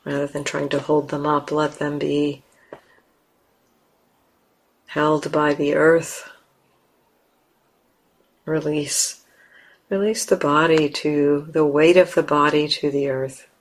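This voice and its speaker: A woman leading a guided meditation as a teacher, speaking gently in short, unhurried phrases with long pauses between them. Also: low voice, level tone, low-quality recording.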